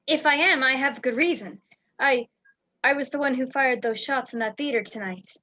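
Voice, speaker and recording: A woman's voice: sits high, normal speed, sharp tone. A young woman playing a frightened, secretive spy in an amateur radio play, speaking urgently and dramatically. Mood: tense, earnest. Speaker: student actor in a school radio play. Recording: dull lo-fi home recording.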